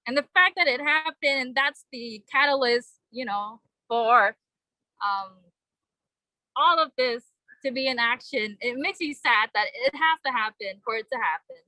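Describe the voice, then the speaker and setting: Bright, earnest young woman at a vigil. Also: fairly high pitch, dry recording, rough recording. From Vietnam. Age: young adult. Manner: earnest, halting, many fillers. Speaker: vigil speaker. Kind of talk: personal speech at a vigil.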